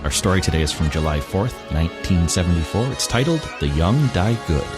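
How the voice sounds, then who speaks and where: A man's voice: low-pitched, animated, fairly quick. A man hosting a podcast, reading scripted introductions and sign-offs. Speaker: podcast host. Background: music under the voice.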